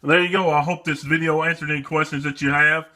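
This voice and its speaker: A man's voice: a little high, fairly even intonation, fast, heavy background noise. A man giving a casual, plain-spoken and somewhat hesitant demonstration of a product. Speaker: presenter demonstrating a radio for sale.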